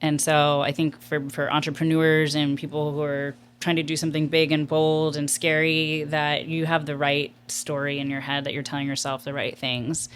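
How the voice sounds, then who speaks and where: A woman's voice: deep voice, flat delivery, normal speed, hardly any pauses, slight background noise. A woman who leads a nonprofit, answering interview questions in an earnest, reflective and candid way. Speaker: nonprofit executive director, guest.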